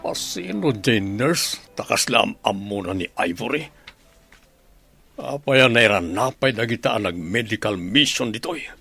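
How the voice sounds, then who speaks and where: A man's voice: fairly low pitch, animated, a little slow, creaky, pushed and bright, breathy edge, faint music behind, full character voice. An actor playing a scheming, insistent village captain in a radio drama, grumbling as he plots. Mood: scheming, frustrated. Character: scheming male village captain plotting to sell a baby. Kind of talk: Ilocano radio drama acting.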